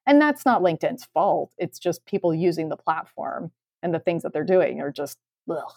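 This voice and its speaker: A woman's voice: on the low side, lively intonation, moderate pace, darkish tone, dry recording, studio quality. A woman co-hosting a podcast, giving her opinion in a casual, candid, emphatic way. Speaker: podcast co-host.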